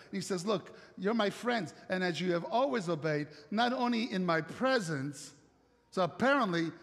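An Italian-accented pastor in middle age gives a sermon from the stage of a church sanctuary. His voice sits high, and his delivery is conversational, full of rhetorical questions and light jokes. The sound trails off in a long church reverb. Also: fairly bright tone. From Italy.

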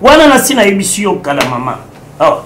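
A man's voice: high-pitched, very animated, brisk, a bit bright, a little hiss or hum. A middle-aged man with a Congolese French accent, a lawyer giving an emphatic political interview in an office, repeating his points and mixing French with Lingala. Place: indoor office with bookshelves.

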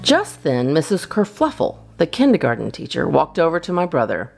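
Woman doing storytime for kids, low slightly creaky voice, really expressive, guitar music behind. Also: normal speed. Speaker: storytime reader. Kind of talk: expressive read-aloud of a children's storybook.

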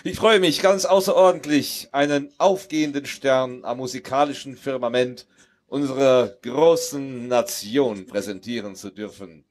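A man's voice: mid-range voice, steady tone, unhurried, lightly acted. A middle-aged man playing a genial, ceremonious Soviet ambassador in a live radio play, with formal, florid phrasing. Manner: formal, florid, speech-making. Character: genial, ceremonious Soviet ambassador hosting a New Year reception. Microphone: headset on an outdoor festival stage.

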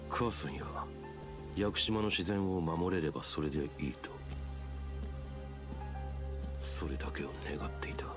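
A man's voice: very deep voice, animated, a little fast. An actor playing a stoic, brooding young man who speaks tersely and with restraint. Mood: subdued. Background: game score music.

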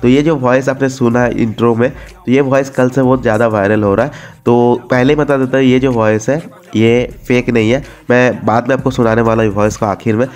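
A man's voice: mid-range voice, steady tone, fairly quick, darkish tone. A man with an Indian accent giving a casual, informal gaming voice-over as host, mixing in English words and repeating himself. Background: background music.

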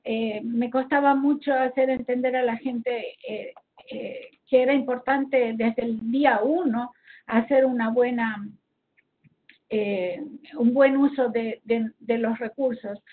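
A woman's voice: fairly high pitch, fairly even intonation, slow pace, a little hiss or hum. A woman from Argentina with an Argentine Spanish accent explains slides as a health professional in a seminar, hesitantly searching for words, over an online call connection. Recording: tinny phone-like connection.

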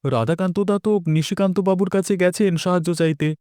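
A man's voice: sits high, fast talker, darkish tone, clean tone, no room sound, clean studio sound, lightly acted. A man playing a concerned village shopkeeper and father in a cartoon, explaining things to his son. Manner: informing, concerned. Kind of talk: cartoon dialogue acting.

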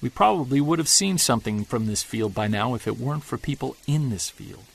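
An actor playing a weary middle-aged Bigfoot investigator, narrating an audio diary in an earnest, confessional way. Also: male voice, mid-range voice, expressive, brisk, creaky, slightly rough. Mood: troubled. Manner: confessional, earnest, quietly troubled. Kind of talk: scripted audio drama narration.

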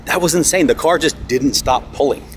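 An American man in his thirties talks like a vlogger who has just been amazed. His speech is informal and excited, full of dude and bro. There is clear engine and outdoor noise behind him. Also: a little high, a little fast. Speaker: vlogger and monster truck driver. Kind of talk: excited casual chat. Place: in a race car and outdoors at a track.

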